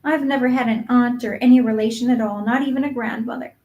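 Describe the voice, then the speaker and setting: A woman voicing an excitable, dreamy young orphan girl in a storybook, earnest, breathless and gushing. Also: sits high, moderate pace, dull audio, voice pushed up, natural voice. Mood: wistful. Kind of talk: acted audiobook dialogue.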